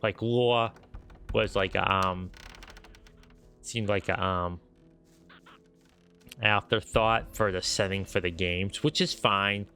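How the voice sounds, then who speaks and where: A man's voice: low-pitched, fairly even intonation, slow, pauses often, bright, forceful tone, soft background music. A man streaming a video game with a stuffy, slightly nasal voice, rambling casually as he plays. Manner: rambling, lots of fillers and restarts. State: stuffy nose, a bit nasal. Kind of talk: casual gameplay commentary.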